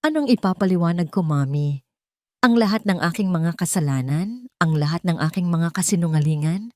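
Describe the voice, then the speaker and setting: A woman's voice: on the low side, animated, moderate pace, no room sound, studio quality, natural voice. A woman voicing a wounded, guilt-ridden rich son in an audio love drama, anguished and pleading. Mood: despairing.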